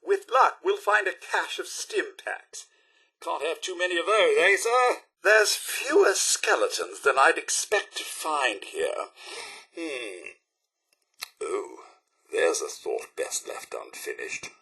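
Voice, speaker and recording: A posh-mannered british robot butler for a game, highish voice, super animated, fussy and formal, kinda sarcastic. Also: male voice, slow pace, breathy edge, exaggerated voice. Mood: wry, musing. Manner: formal, servile, theatrically prim. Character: polite, fussy, wry male robot butler. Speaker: game character voice actor. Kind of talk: video game character voice lines.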